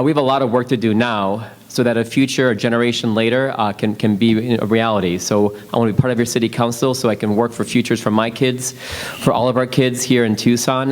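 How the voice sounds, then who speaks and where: Middle-aged man giving a candidate's closing statement. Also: mid-range voice. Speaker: city council candidate.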